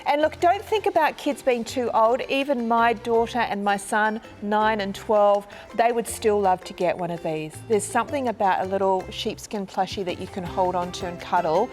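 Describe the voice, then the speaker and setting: A woman's voice: mid-range voice, moderate pace, few pauses, music in the background. A woman in her thirties with a New Zealand accent, hosting a live shopping broadcast in a chatty, bubbly way that laughs easily. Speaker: shopping channel host.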